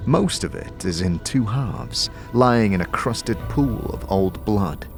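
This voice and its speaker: Slow, deep-voiced male narrator. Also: animated, creaky. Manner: slow, grim, deliberate delivery. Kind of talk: second-person audio drama narration. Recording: faint music underneath.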